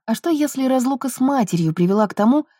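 A woman narrates a novel in a soft, mellow voice. The sound is dry, with very little room around it. Her delivery is scripted literary storytelling. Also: high voice, expressive, fast, breathy edge, no room sound. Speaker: audiobook narrator.

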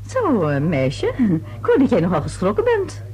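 A woman's voice: mid-range voice, wildly swooping pitch, normal speed, a little mellow. A woman playing a nosy, chatty, easily indignant neighbour in a radio thriller, with theatrical prying delivery. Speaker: actress in a radio serial. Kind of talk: Dutch radio thriller acting.

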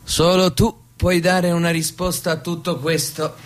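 A man's voice: high-pitched, flat delivery, measured pace, a little hiss or hum. An actor playing an enigmatic male guide in a theatrical radio drama, speaking cryptically. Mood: cryptic, instructive.

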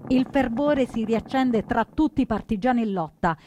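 A woman playing an earnest partisan, recounting the resistance as scripted dramatic narration in solemn, deliberate phrases. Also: a little high, dark tone, a little hiss or hum, natural voice. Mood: solemn resolve.

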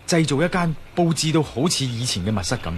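Man acting calm guy in charge explaining stuff, radio drama, bit of noise behind him. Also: on the high side, fast talker, close to an everyday voice. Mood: calm, reassuring. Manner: explanatory, reassuring. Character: level-headed male adventurer, reassuring man in charge.

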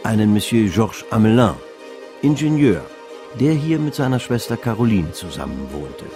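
An actor as the composed, observant narrator of a literary radio drama. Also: male voice, fairly low pitch, very animated, normal speed, music in the background.

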